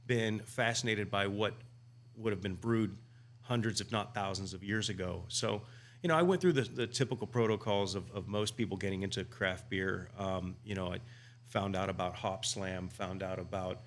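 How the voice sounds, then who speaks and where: Low-voiced man chatting casually. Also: fairly even intonation, normal speed. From United States. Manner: rambling, many restarts and fillers. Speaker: guest homebrewer. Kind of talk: casual podcast conversation.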